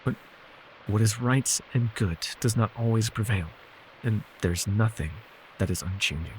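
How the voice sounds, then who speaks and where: A man playing a gentle, weary immortal elf healer with a wry streak, speaking softly in measured, formal phrases for an intimate fantasy ASMR roleplay. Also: on the low side, slight background noise, natural voice, low-quality recording. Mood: solemn, wistful.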